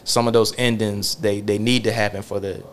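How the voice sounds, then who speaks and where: Guy with a kinda low voice rambling on his podcast, lots of likes and restarts. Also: little pitch movement, moderate pace, a little hiss or hum. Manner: rambling, heavy on filler words and restarts. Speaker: podcast host. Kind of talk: casual podcast conversation.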